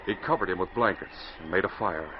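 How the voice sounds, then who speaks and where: A man's voice: deep voice, quick pace. A man narrating an adventure story in dramatic, scripted 1940s radio style. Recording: muffled, noisy 1940s radio recording.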